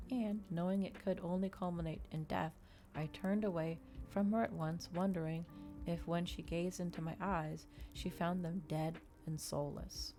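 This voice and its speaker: A woman's voice: fairly low pitch, mellow, slight background noise. A woman reading a novel aloud in an ASMR audiobook style.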